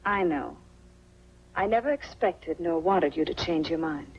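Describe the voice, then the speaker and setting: An actress playing a gentle, guarded young widow with a husky voice and an American accent, in 1940s film style. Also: female voice, sits low, expressive, measured pace, full character voice. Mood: gentle, resigned. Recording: dull 1940s film soundtrack.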